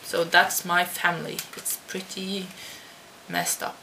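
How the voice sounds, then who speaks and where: A Dutch-born teenage girl chatting to her webcam at home, answering tag questions slowly with many restarts. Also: fairly low pitch, flat delivery, gravelly, sharp tone, poor audio. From Netherlands. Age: teens. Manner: slow and halting, with many restarts. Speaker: vlogger. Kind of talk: casual talk to camera answering a tag. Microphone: computer webcam. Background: faint hum of computer fan.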